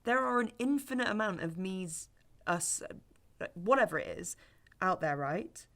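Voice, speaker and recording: A woman's voice: mid-range voice, expressive, unhurried, a bit bright. A young woman playing a blunt, guarded sceptic on a first date in a naturalistic sci-fi audio drama, dry and matter-of-fact. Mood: placating.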